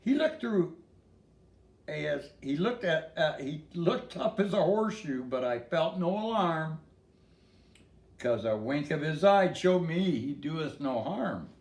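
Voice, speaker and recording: A man's voice: a little high, animated, takes it slowly, darkish tone, echoey room. An older man reading a storybook in verse in a folksy way, with casual asides to a listener.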